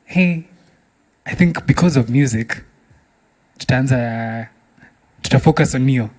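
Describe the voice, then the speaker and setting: A man's voice: medium pitch, a little slow, a little mellow, low-quality recording. A man with a Kenyan accent hosting a music talk show in casual, bantering style with exclaiming reactions. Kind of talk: casual bantering interview, switching between English and Swahili.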